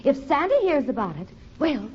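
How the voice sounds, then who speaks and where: A woman's voice: high-pitched, sing-song, normal speed. A woman playing an exasperated, bossy mother scolding her boy in an old radio comedy. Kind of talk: 1940s-50s radio comedy acting. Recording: noisy, dull old radio recording, audience behind.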